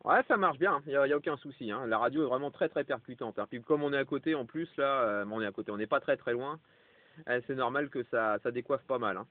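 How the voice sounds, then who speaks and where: A man's voice: mid-range voice, fast talker, slight background noise. A man with a French accent chatting casually as a radio operator, in colloquial phrases dotted with interjections. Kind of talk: casual two-way radio chat. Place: parked vehicle. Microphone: radio microphone. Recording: dry, phone-like two-way radio transmission.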